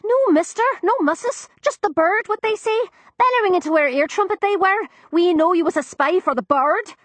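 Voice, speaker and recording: A woman voicing a frightened young Cockney maid who babbles breathlessly in rough, working-class grammar. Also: very high-pitched, animated, moderate pace, no echo, pitched above the performer's own voice. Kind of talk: audiobook character voice.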